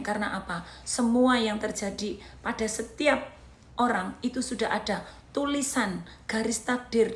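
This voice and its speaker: A woman's voice: a little high, reverberant. A woman in her thirties from Indonesia with a Javanese accent, giving an emphatic motivational talk and repeating her points in lists. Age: 30s. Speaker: motivational vlogger.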